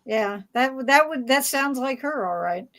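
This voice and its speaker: A woman moderating a casual fan panel discussion, speaking haltingly as she searches for words. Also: high voice, expressive, a bit bright.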